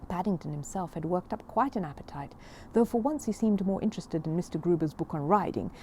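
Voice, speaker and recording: A woman reading a children's story aloud in an expressive, animated storytelling manner. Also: fairly low pitch, brisk, a little hiss or hum.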